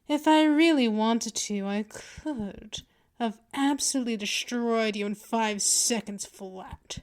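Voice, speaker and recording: Woman playing a slow, teasing female vampire mistress. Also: fairly high pitch. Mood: smug, menacing. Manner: slow, deliberate, teasing, condescending. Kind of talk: ASMR roleplay, scripted monologue.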